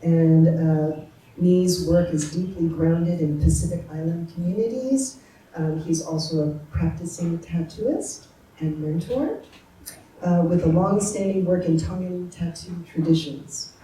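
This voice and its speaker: A woman's voice: lowish voice, a little slow, dark tone. A woman hosting an event, introducing the moderator in a hesitant way with many fillers. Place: community arts center event room. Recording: echoey room, distant mic, faint noise.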